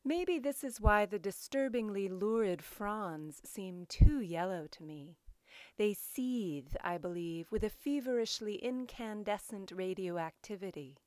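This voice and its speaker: A woman host reading literary work and scripted introductions in a measured, deliberate, expressive way. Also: mid-range voice, no echo. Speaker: poetry show host and reader.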